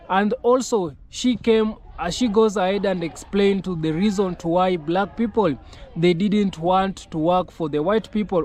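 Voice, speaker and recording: A man hosting a YouTube commentary channel, talking in halting, repetitive phrases with frequent filled pauses. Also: high-pitched, darkish tone.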